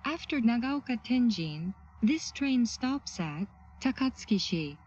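A woman making formal, measured automated announcements on a train, phrase by phrase in a scripted style. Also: fairly high pitch, big pitch swings, a little mellow, husky. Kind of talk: scripted English transit announcement. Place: played over a train's speakers. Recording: dull lo-fi train PA capture.

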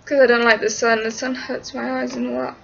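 A woman's voice: fairly high pitch, little pitch movement, a little fast, gravelly, slight background noise. A young English woman from Liverpool, with little of the local accent, answering viewer questions on a webcam in a small room, giggly and scatterbrained. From England, born in Liverpool. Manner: giggly, scatterbrained, talks to her cat in baby talk. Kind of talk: casual webcam Q&A chat. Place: small indoor room, seated against a wall. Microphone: webcam at arm's length. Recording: dull lo-fi webcam sound.

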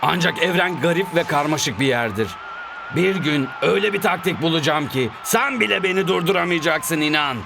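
Menacing cartoon rival coach, gravelly, noisy stadium sound behind. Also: male voice, a little high, expressive, a little fast. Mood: proud, threatening. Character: proud, scheming male rival football coach. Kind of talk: animated cartoon dub.

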